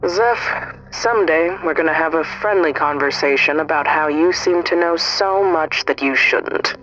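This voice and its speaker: A woman's voice: low voice, moderate pace, fairly bright tone, dull audio. A woman playing a self-assured naval intelligence agent in an audio drama, speaking in a clipped, businesslike way. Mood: firm, familiar.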